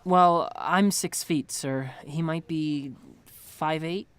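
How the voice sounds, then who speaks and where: A guarded, weary young bank clerk, acted by a man in a radio play. His voice is a bit high for a man and he answers hesitantly. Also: fairly even intonation, slow, a bit bright, smooth. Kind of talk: radio drama acting.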